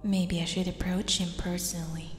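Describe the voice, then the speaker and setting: A woman voicing a possessive, lovestruck teenage schoolgirl in an ASMR roleplay, breathy and often dropping into whispers. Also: fairly low pitch, flat delivery, moderate pace, natural voice. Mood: anxious brooding. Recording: echoey, long reverb, music behind.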